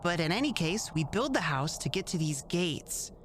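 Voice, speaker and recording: A naive, stubborn boy hero voiced by a woman with a lively, boyish delivery. It is a cartoon fandub performance recorded with clear noise and keyboard clatter behind it. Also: lowish voice, normal speed. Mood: curious, earnest.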